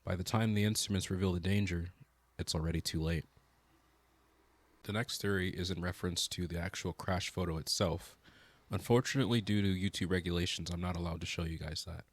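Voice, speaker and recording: A man's voice: low-pitched, steady tone, fairly quick, no echo. A man narrating a scripted documentary in a solemn, dramatic, suspenseful way. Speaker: video narrator, channel host. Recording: loud music under the voice. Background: loud music.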